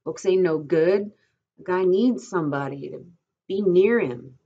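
A lonely stable hand played by a woman reading aloud who needles and broods in rustic dialect. The voice is rough-edged and husky, with bitterness under it. The sound is muffled and lo-fi. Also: on the low side, measured pace, pitched above the performer's own voice, heavily acted. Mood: bitter, lonely.

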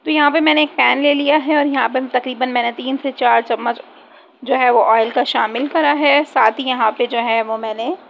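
Gravelly woman narrating a home recipe. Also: high voice, fairly bright tone, a little hiss or hum. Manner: chatty step-by-step explaining with frequent filler phrases. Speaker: cooking channel host. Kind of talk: home cooking tutorial narration. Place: home kitchen. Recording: dull lo-fi home recording.

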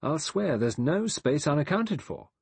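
A man's voice: on the high side, big pitch swings, unhurried, gravelly, a little mellow, husky, no room sound, pitched above the performer's own voice, exaggerated voice. A man voicing a cool, sardonic, shrewd male adventurer in an audiobook, with an easy, mocking manner. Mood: reasoning.

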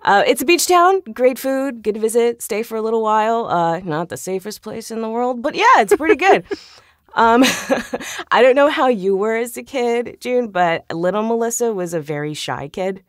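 A woman's voice: on the high side, animated, moderate pace, no echo, studio quality. A woman from South Texas chatting casually and playfully as a podcast guest, prone to giggling. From South Texas, United States. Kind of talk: casual chat.

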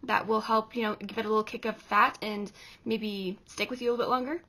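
A woman's voice: mid-range voice, fairly even intonation, fairly quick, bright, forceful tone. A woman in her thirties giving plain, step-by-step instructions in a casual kitchen demonstration, filmed in a home kitchen with a camera on the counter. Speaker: co-host. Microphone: camera at counter distance.

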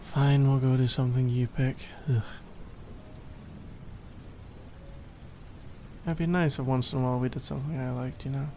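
A man's voice: medium pitch, steady tone, fast, a little mellow, soft background music, close to an everyday voice. A man playing a needy, playfully exasperated gamer boyfriend in a casual, intimate ASMR roleplay, teasing as he talks. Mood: teasing, resigned. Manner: teasing, mock-exasperated, conversational.

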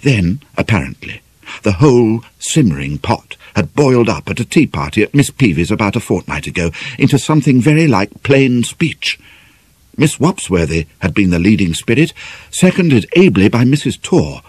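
Expressive British male narrator, slightly husky. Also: lowish voice, normal speed, no room sound. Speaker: audiobook narrator. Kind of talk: dramatic scripted novel narration.

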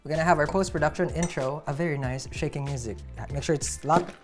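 A man's voice: a little high, fairly even intonation, fast, over music. A man in his thirties hosting a casual drink-mixing demonstration, chatting in a playful, joking way. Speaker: host mixing cocktails. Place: indoor home bar room.

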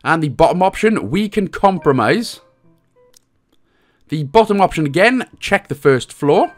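A man's voice: a little high, expressive, a little slow, soft background music. A man with a Welsh accent giving a casual game walkthrough with cheeky, jokey asides. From Wales. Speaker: video game guide host.

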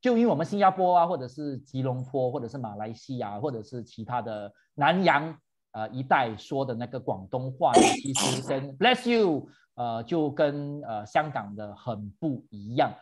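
A man's voice: medium pitch, expressive, normal speed. A man hosting a virtual tour for seniors, chatting animatedly and switching between English and Mandarin. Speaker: host.

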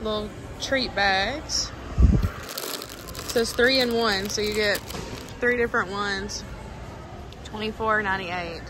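An unhurried woman with a Southern US accent talks like a casual shopping vlogger. Her mid-pitched voice is informal and gushing as she remarks on items and prices. Clear background noise sits behind her. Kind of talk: casual vlog chat, remarking on products and prices.

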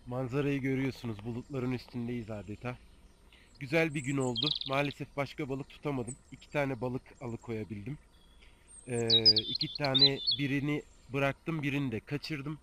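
A man in his thirties speaks casually into a phone held at arm's length, high on a mountain. He talks in a relaxed way, with frequent hesitation sounds. Heavy outdoor noise and birdsong fill the recording. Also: moderate pace. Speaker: fishing vlogger. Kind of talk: casual talk to camera. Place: outdoors on a mountainside above the clouds.

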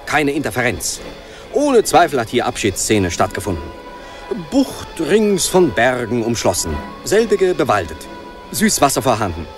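Brash male special reporter for radio drama: excitable, clipped phrasing, muttered asides, very lively pitch. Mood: brisk reporting. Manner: clipped telegram-style commentary, muttered asides to himself. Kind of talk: radio play acting, live reportage.

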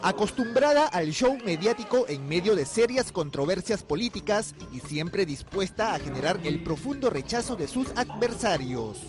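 A man's voice: high-pitched, sing-song, moderate pace, few pauses, music in the background. A man narrating a television news report with dramatic, ironic delivery. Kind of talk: scripted news voice-over.

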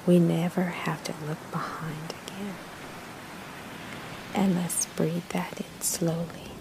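Female meditation guide, hushed and soothing, gravelly creak in the voice, unhurried pauses, noticeable background hiss. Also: fairly low pitch, little pitch movement. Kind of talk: slow guided meditation narration.

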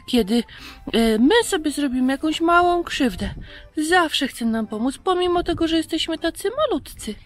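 A woman in her thirties presents a children's show. Her voice is high, and she explains things in simple, friendly language for little ones.

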